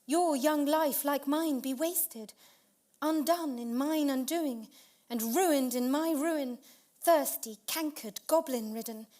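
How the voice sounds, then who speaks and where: A woman voicing an anguished young woman in a dramatic poetry reading, impassioned and horrified, with drawn-out phrases. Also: high voice, mellow, pitched above the performer's own voice.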